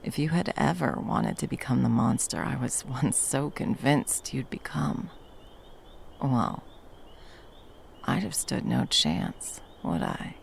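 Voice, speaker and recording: A woman's voice: a bass voice, lively intonation, slow, gravelly, a little hiss or hum, heavily acted. A woman playing a battle-hardened monster hunter, violent yet a tender protective lover, in an intimate ASMR roleplay. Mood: teasing, fond. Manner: intimate, sweary, teasing and soothing endearments. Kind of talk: ASMR roleplay, intimate scripted monologue addressed to the listener.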